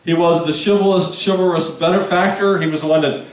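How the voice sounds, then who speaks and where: A man's voice: fairly high pitch, fairly even intonation, a little fast, echoey room, far from the mic, poor audio. A man preaching a sermon to his congregation in a chatty, conversational way, with asides and questions to the room. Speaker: pastor. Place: church sanctuary.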